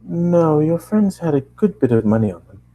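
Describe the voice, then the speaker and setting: A man's voice: fairly high pitch, sing-song, normal speed, mellow, a little hiss or hum, pitched above the performer's own voice, low-quality recording. A man playing a nice, slightly startled, deferential apartment lobby man in a story. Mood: polite.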